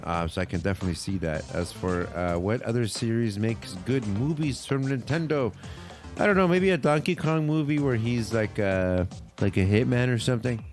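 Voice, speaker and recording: A man co-hosting a podcast, chatting casually and informally as he thinks out loud. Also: mid-range voice, normal speed, faint music behind. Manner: loose, informal, thinking aloud. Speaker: podcast co-host. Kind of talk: casual pop culture chat.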